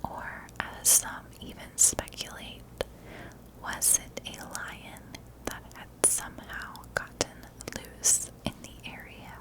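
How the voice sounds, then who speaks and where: Slow, whispering woman ASMR storyteller. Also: poor audio. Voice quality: soft, breathy whisper. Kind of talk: whispered ASMR narration of a historical mystery.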